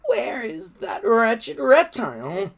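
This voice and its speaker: A man voicing a panicking, selfish villain in a drawn-out, indignant manner. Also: very high-pitched, huge pitch swings, gravelly, voice pushed up, full character voice. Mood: panicked anger. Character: panicking, hissing male villain, mad and selfish.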